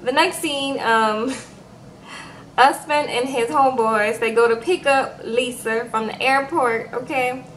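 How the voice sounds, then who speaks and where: Young woman chatting thru a show recap, lively and chatty, kinda high voice. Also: slow pace, a little hiss or hum, rough recording. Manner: animated, chatty, tags lines with "okay?". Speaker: reality show recap host. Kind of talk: casual recap chat.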